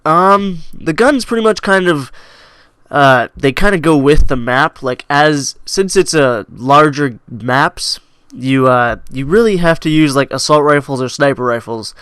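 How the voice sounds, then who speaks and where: A man's voice: fairly high pitch, a little slow, a bit bright, clear, resonant tone, no room sound. Young man talking with a cold. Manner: rambling with many likes and restarts. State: coming down with a cold. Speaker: gaming channel host. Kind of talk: casual game review over gameplay.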